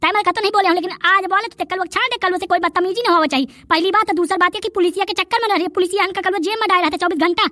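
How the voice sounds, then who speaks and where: Man playing a shrill, brash young Bundelkhandi tough. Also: racing, hardly any pauses, bright, forceful tone, no room sound, clean studio sound. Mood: scolding, threatening. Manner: scolding, taunting, rustic. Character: brash young village tough, taunting and boastful. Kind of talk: rustic cartoon comedy dialogue.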